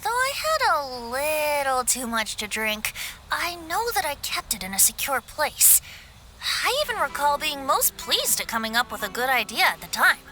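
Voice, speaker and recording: A woman's voice: high voice, very animated, moderate pace, sharp tone, slight background noise, exaggerated voice. A woman voicing a carefree young male bard in a video game, airy, playful and courteous. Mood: apologetic.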